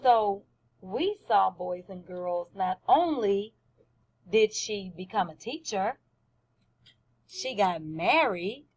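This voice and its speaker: A woman teaching first graders through a read-aloud, speaking deliberately and with emphasis, often questioning the children. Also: medium pitch, animated, darkish tone, husky, poor audio.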